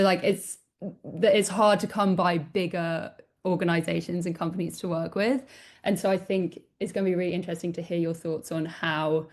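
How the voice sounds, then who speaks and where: A woman's voice: on the low side, a little mellow. A woman hosting an interview podcast, talking in an enthusiastic, upbeat way. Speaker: podcast host. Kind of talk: conversational interview.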